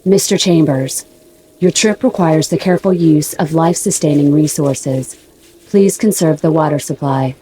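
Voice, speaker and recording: A woman playing a polite, literal-minded spaceship computer in an audio drama, speaking in a formal, measured, impassive way. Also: deep voice, mellow, slight background noise, close to an everyday voice, rough recording. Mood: politely firm. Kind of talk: radio drama dialogue.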